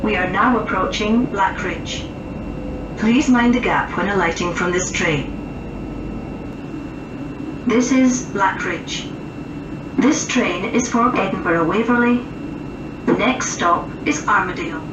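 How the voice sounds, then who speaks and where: A woman reads railway announcements formally, in slow and carefully separated phrases. Her voice comes from a distant train speaker, echoing under the loud noise of the carriage. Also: medium pitch. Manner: measured, formal, segmented phrasing. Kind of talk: recorded railway passenger announcements. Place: aboard a moving passenger train. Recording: muffled, noisy, echoey train PA captured from afar. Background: loud train and rail noise.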